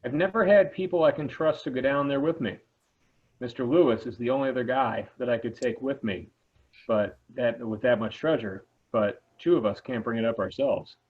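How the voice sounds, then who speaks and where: A tinny, narrow-band call carries a man playing a male high school teacher. He is exasperated yet game, mid-pitched, in a plain amateur table read. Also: moderate pace, slightly rough, lightly acted. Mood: confiding. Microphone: video call. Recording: tinny phone-like video call.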